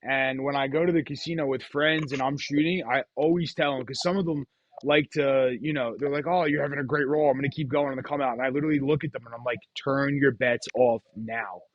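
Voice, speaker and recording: A man's voice: medium pitch, steady tone, brisk, dull audio. A man chatting casually and telling gambling stories as the host of the show. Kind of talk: casual chat, storytelling about craps.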